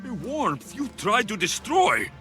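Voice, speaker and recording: An actor playing a brooding, defiant ancient Greek warrior in a dramatic video game, with intense, weighty delivery. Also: male voice, high voice, very animated, measured pace, music in the background. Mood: anguished anger. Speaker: lead character in a video game.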